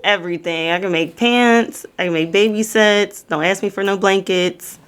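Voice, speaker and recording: A woman's voice: medium pitch, fairly even intonation, moderate pace, smooth. A woman in her twenties from the Pittsburgh area, a podcast guest who talks in a chatty, candid, self-deprecating way. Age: 20s. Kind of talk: casual interview chat.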